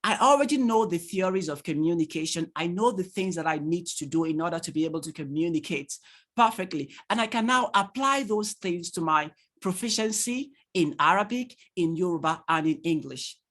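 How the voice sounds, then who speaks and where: A male tutor with a Nigerian accent leads an online session for parents over a computer mic. He talks in an animated way, stacks rhetorical questions and laughs easily while reading the chat. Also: sits high, brisk. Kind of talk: online training lecture to parents.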